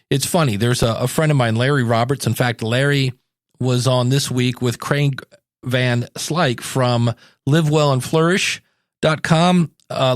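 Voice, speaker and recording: A man with a medium-pitched voice hosts a podcast. He talks casually and conversationally, as if chatting to listeners. The sound is dry, with no room around it. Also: no echo. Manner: informal, conversational. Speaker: podcast host. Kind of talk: casual solo talk and mock interview.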